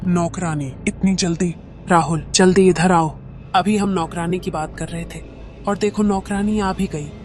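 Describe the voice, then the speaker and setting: A woman's voice: on the low side, fairly even intonation, moderate pace, a little mellow, soft background music, close to an everyday voice. A woman voicing a worried young wife in a cartoon, insistent and frightened about her new maid. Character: anxious young wife Shanti. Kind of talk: cartoon voice acting.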